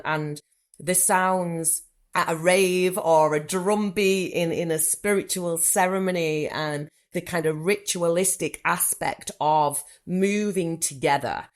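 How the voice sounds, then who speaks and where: A woman with a Mancunian accent, a guest therapist talking eagerly in an interview, often repeating words as she thinks aloud. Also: fairly low pitch. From Manchester, England. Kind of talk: reflective conversational interview.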